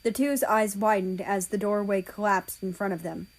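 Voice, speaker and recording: A woman's voice: medium pitch, fairly even intonation, normal speed. A woman reading a story aloud as scripted narration, an amateur audiobook reader.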